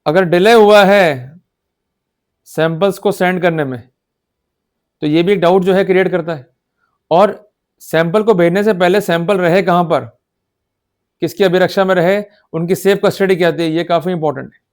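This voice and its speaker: Man, Indian accent, high-pitched voice, law lecturer, didactic, emphatic, explanatory delivery. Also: normal speed, long pauses. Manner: didactic, emphatic, checks understanding with repeated short questions. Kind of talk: explanatory lecture in Hindi mixed with English legal terms.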